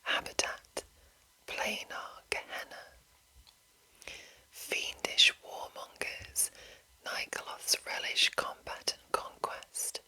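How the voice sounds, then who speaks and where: A woman hosts ASMR in a steady whisper, reading aloud and adding soft asides. Her delivery is slow and lingering, with a very crisp, bright edge to each hushed phrase. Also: rough recording. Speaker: ASMR host reading a monster manual.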